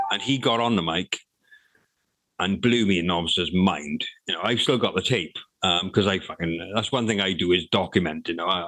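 Middle-aged man, low voice, dry close recording, rambling and sweary interview chat. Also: bright, forceful tone, no room sound, poor audio. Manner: rambling, swears freely, many restarts. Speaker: interviewee, hip hop artist.

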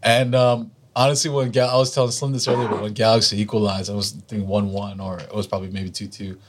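Hoarse, animated man on a podcast. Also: lowish voice, quick pace. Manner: animated, joking, profane. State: hoarse from cheering. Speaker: podcast co-host. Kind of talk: casual podcast chat. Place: backyard.

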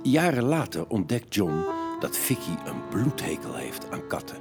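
A man's voice: on the low side, wildly swooping pitch, a little slow. A man narrating a children's audio story in a dramatic, suspenseful, scripted style. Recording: music bed behind the narration.

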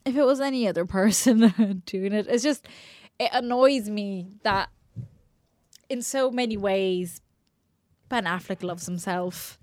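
A woman's voice: mid-range voice, measured pace, a little mellow, no room sound. Woman cohost on a movie podcast, laughs a lot, gushes and rants, dead dry sound. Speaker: podcast co-host. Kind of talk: casual film review chat.

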